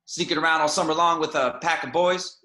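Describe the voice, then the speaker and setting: A controlling, possessive middle-aged father, played by a man at a home table read, his words low and creeping with menace. The voice sits a little high for a man and cuts with a bright, forceful edge. It rings out in a roomy, echoing space. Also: steady tone, a little fast. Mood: menacing. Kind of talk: amateur table read over video call. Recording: echoey room.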